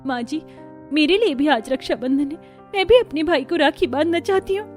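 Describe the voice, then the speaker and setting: A woman's voice: high-pitched, moderate pace, a little mellow, soft background music, close to an everyday voice. A woman voicing a devoted, outspoken young sister in a family cartoon, earnest and emphatic. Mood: defiant.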